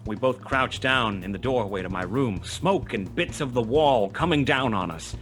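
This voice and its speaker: An actor playing a world-weary, wry, tough private detective who narrates a 1920s hard-boiled radio play in a clipped, dramatic manner. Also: male voice, medium pitch, expressive, moderate pace, a bit bright, faint music behind, close to an everyday voice. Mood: tense, gritty.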